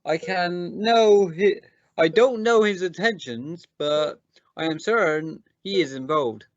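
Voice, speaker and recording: A man playing a defensive, accusing scientist in an amateur radio drama, speaking in a halting, formal and deliberate way. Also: sits high, dry recording, muffled recording. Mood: earnest, suspicious. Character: defensive, accusing male scientist on a space mission. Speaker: amateur radio play actor.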